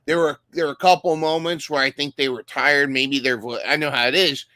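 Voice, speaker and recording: A man's voice: a little high, steady tone, fairly quick, bright, forceful tone, no echo. A man co-hosting a casual recap podcast, talking enthusiastically and often restarting his sentences. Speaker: podcast co-host.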